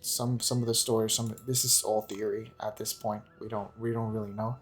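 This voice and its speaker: A man's voice: lowish voice, little pitch movement, brisk. A man giving casual, unscripted game commentary in a rambling, hesitant way, often repeating and correcting himself. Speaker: gaming channel host. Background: background music.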